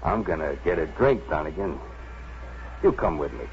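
An actor playing a brusque, excitable working-class man in a 1950s radio drama, with clipped speech. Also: rumbling low, normal speed. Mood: gruff, easing. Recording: noisy, muffled 1950s radio recording.

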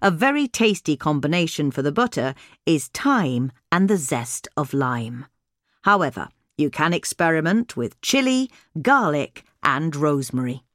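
Woman narrating, lively intonation, dry sound. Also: deep voice, measured pace, no room sound. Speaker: reader of a gardening magazine article. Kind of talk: scripted narration, instructional.

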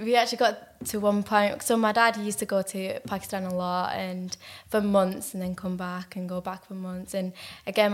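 A young woman from Manchester with a Manchester accent, telling her life story as a podcast guest, halting with many fillers and restarts. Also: medium pitch. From Manchester, England. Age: young adult. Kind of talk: casual personal storytelling.

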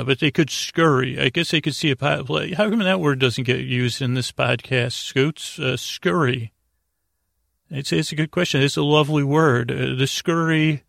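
A man hosting a bedtime podcast in a rambling, meandering monologue, often circling back and losing his thread, with a creaky voice. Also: mid-range voice, a bit bright, dry recording, clean studio sound. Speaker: podcast host.

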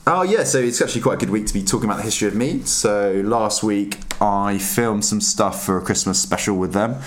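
Brief: man with a cold, very low voice, relaxed podcast guest, ums and restarts. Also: a little fast, slight background noise. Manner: relaxed and explanatory, with many fillers and restarts. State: under the weather, voice deeper than usual. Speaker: podcast guest, mead maker. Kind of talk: casual podcast chat.

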